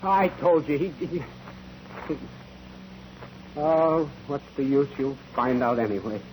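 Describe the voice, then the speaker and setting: A man's voice: mid-range voice, animated, takes it slowly, a little mellow. An actor playing a nervous, evasive bookkeeper on the witness stand in a 1940s radio drama, stammering and repeating his words. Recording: noisy old 1940s radio recording.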